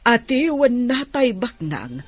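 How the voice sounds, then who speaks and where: A woman's voice: fairly high pitch, very animated, slow. A woman playing an older, humbled and grateful auntie in an Ilocano radio drama, with an emotional, sighing delivery. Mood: delighted.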